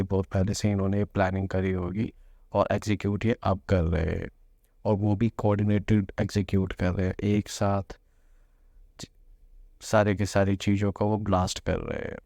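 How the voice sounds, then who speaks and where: A man explains the news in an informal way. His voice sits low with a strong gravelly creak and stays fairly even in tone. He drops English terms into his commentary as he goes. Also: dry recording, studio quality. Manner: mixes Hindi with English terms.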